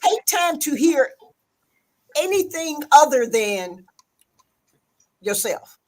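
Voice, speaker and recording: A woman's voice: sits high, big pitch swings, slow. A woman hosting a live stream chat, coaxing and soothing, calling her listener sweetheart and baby as she gives advice. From a big city.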